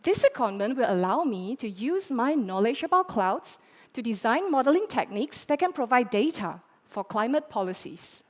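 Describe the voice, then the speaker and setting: Woman giving a dramatic, measured pitch. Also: very animated. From Malaysia. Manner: dramatic, measured delivery with pauses. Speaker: postdoctoral climate scientist. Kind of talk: rehearsed pitch speech. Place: conference stage.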